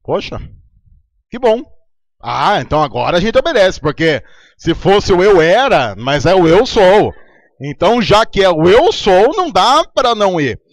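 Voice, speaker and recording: A Brazilian man preaching a sermon in a relaxed, conversational way, telling stories from his church. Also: high-pitched, measured pace, no echo, clean studio sound. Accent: Brazilian Portuguese. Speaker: pastor.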